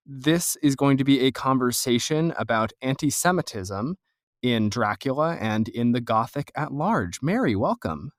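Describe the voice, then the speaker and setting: A man's voice: mid-range voice, animated, moderate pace, dry recording. Man hosting a podcast, dry close sound. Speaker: podcast host and producer. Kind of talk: podcast introduction and interview.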